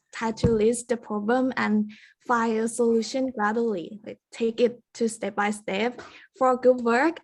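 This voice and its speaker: A woman's voice: fairly high pitch, a little mellow. A 21-year-old woman with a Thai accent answering practice interview questions in careful, rehearsed English. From Thailand. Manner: careful, rehearsed, non-native grammar. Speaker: university student.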